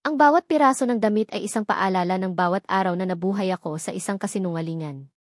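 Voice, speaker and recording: Lady telling a dramatic story in first person, medium pitch, really dry close sound. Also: fast talker, no room sound. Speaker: story narrator.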